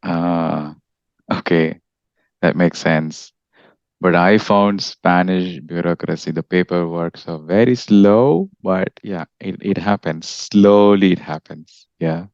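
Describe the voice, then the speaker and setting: A man's voice: low-pitched, slow, creaky, a little mellow, dry recording, dull audio. A man from India hosting a casual podcast interview, friendly, restarting his sentences often. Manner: friendly, with frequent restarts and fillers. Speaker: podcast host.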